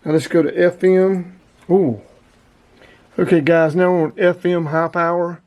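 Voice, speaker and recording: A man's voice: high-pitched, steady tone, a little slow, darkish tone, lo-fi sound. A man giving a casual, plain-spoken product review as the host, talking informally to his viewers. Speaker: channel host reviewing radio gear.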